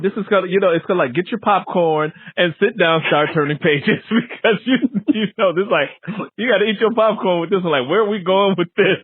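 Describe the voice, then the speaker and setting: A man's voice: sits high, slightly rough, no echo, phone-quality sound. Casual male interviewer with a clean, close sound. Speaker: interviewer and fellow author. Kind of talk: casual conversational book interview.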